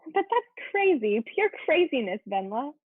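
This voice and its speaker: Thin, dry, phone-like audio of a girlish schoolgirl in a period play, acted by a woman. The voice sits fairly high with some rasp. The manner is opinionated and scornful. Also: very animated, unhurried, dry recording. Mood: challenging. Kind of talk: dramatic reading of a period play.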